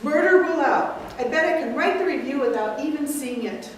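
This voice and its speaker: A woman's voice: high-pitched, lively intonation, moderate pace, a little hiss or hum. A woman reading the part of an exasperated young male nephew, pressing anxious questions in an echoey room, far from the mic. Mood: incredulous. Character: fretful young male nephew, a drama critic.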